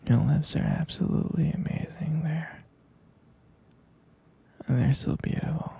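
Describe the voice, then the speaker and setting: Slow, breathy whispering boyfriend played by a man. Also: fairly high pitch, steady tone, mellow, full character voice. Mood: adoring. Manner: slow, doting, lingering over words. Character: tender, devoted boyfriend comforting his pregnant partner. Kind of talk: boyfriend ASMR roleplay, intimate and soothing.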